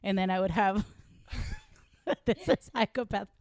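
A woman's voice: mid-range voice, animated, takes it slowly, darkish tone, dry recording, clean studio sound. A woman from Venezuela, a native Spanish speaker, telling stories casually with a giggly, self-mocking, sweary manner. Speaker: podcast guest, comedian. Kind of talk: casual conversational storytelling.

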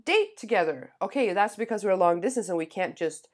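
A young woman co-hosting a podcast, talking fast and animatedly with lots of fillers. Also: mid-range voice, lively intonation. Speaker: podcast co-host. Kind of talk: casual chat.

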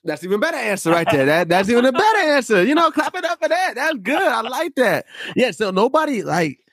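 A man's voice: extremely high pitch, very animated, fairly quick, fairly bright tone, no room sound, studio quality. A man from Arizona hosting a casual podcast interview, laughing often and repeating his phrases. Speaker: podcast host.

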